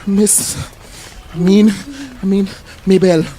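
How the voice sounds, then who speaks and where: A man's voice: sits high, steady tone, takes it slowly, mellow. A man acting in a radio play as a shy, flustered young man with a Trinidadian accent and a hesitant, stammering way of talking. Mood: flustered, polite. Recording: radio play with faint music behind.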